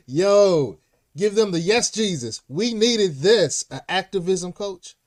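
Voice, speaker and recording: A man with a somewhat high-set voice hosts an interview show. He is enthusiastic and exclamatory, drops in slang, and repeats phrases so they land. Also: expressive, takes it slowly. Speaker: talk show host.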